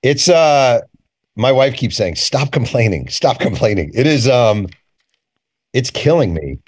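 A man's voice: medium pitch, very animated, a little slow, husky, dry recording, clean studio sound. A man speaking as an interview guest, candid and colloquial, repeating phrases for emphasis. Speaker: author and journalist guest. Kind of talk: casual conversational interview.

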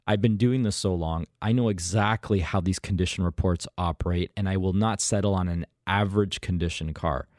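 A man's voice: sits low, fairly quick, hardly any pauses, a little mellow, no echo, clean studio sound. A man appearing as a guest on a radio talk show, talking up his car brokering with confident, emphatic sales talk. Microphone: studio microphone.

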